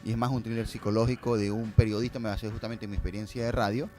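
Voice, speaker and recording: Man chatting, music softly behind. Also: lowish voice, little pitch movement, quick pace. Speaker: guest filmmaker. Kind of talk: casual conversational storytelling and explanation.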